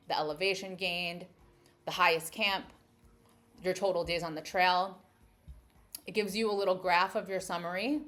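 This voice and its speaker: A woman's voice: fairly low pitch, fairly bright tone. An American woman hosting a casual screen-share walkthrough, explaining step by step and often checking in with the listener. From United States. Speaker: travel content creator and host.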